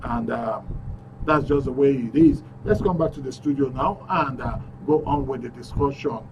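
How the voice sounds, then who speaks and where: A man's voice: medium pitch, moderate pace, far from the mic, highs cut off, background noise. A Nigerian man hosting a live call-in radio show from the studio, upbeat as he reads out messages and phone numbers. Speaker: radio talk show host.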